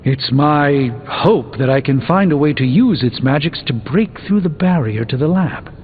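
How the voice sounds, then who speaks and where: A learned middle-aged sorcerer played by a man speaks in composed, formal phrases for a video game. His voice sits a little high. It rings in a long hall reverb, thinly band-limited, with faint music behind. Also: animated, phone-quality sound, slight background noise, lightly acted. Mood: grave, instructive. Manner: precise, formal, measured diction. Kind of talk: video game character dialogue.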